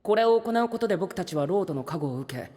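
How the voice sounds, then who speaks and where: An actor playing a courteous, formal young knight who explains things in an anime-style audio drama. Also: male voice, sits high, expressive, quick pace, big-room sound, background noise. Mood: instructive. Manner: courteous, peppered with English exclamations.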